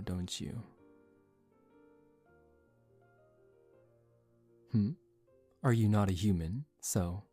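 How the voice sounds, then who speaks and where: A man voicing a suave, condescending young male vampire in a soft-spoken ASMR roleplay, calm and teasing. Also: fairly low pitch. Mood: patient, probing. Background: relaxing background music.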